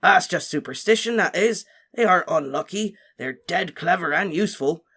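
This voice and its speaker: A man voicing a huge, kindly male gamekeeper who talks in a hearty, rough country dialect. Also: high-pitched, expressive, normal speed, sharp tone, pitched above the performer's own voice, full character voice. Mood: cheerful.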